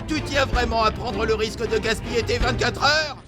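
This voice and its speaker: A woman's voice: on the high side, moderate pace, over music, lightly acted. A woman playing an emotional, defiant character in an anime-style drama, with emphatic theatrical delivery. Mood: scolding, worried.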